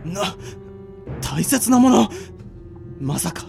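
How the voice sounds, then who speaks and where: A man's voice: high voice, sing-song, slow pace, creaky, dark tone, exaggerated voice. A man acting a stubborn, secretive captive under interrogation in an amateur radio drama, with tense dramatic delivery. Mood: desperate anger. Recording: long hall reverb, faint music.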